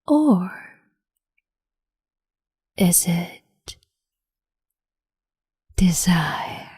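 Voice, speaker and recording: A woman playing a slow, whispery cruel empress. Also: lowish voice, very animated, a little mellow, husky, no echo, studio quality, full character voice. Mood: haughty, taunting. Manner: slow, haughty, half-whispered. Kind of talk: ASMR roleplay.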